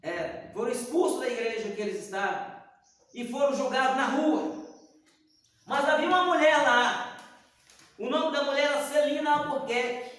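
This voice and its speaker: A man's voice: very high-pitched, moderate pace, long pauses. A man teaching a church Bible lesson, telling stories and acting out the dialogue. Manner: animated storyteller acting out dialogue. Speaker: Sunday school teacher. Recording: echoey hall, distant mic.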